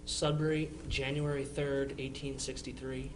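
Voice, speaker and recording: Halting man playing a chatty villager, noisy recording. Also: medium pitch, level tone, close to an everyday voice. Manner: halting, stumbles and repeats phrases. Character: male 1860s villager writing chatty news to his brother. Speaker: amateur reader in a historical society dramatization. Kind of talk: reading period letters aloud.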